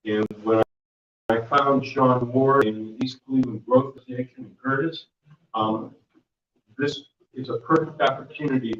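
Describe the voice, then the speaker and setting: A man with a booming voice presenting at a community workshop, talking loosely with many fillers and restarts. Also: a little hiss or hum. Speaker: volunteer mentor presenting a workshop. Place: meeting room. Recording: dull, echoey room audio from far off.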